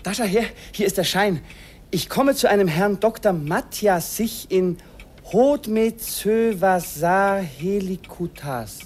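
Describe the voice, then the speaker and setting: An actor playing a naive young student son, boyish and hesitant, in an old radio play. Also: male voice, sits high, expressive, slow, full character voice. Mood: eager, unsure. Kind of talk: 1950s radio acting. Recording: old radio recording with faint noise.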